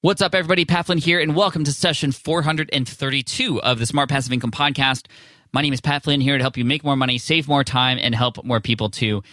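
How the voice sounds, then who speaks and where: Excited man hosting a podcast. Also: medium pitch, quick pace, no echo, clean studio sound. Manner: enthusiastic, excitable. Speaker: podcast host.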